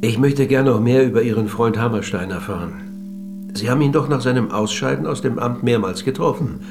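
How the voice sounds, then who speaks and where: An actor playing a courteous, probing author who interviews and narrates history in a scripted documentary radio drama, speaking with measured precision. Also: male voice, mid-range voice, music in the background, lightly acted.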